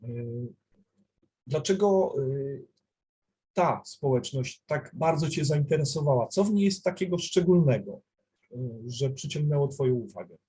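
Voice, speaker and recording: A man interviewing a guest with measured, polite questions and pauses between phrases. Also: medium pitch, expressive, mellow, slightly rough. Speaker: festival TV interviewer.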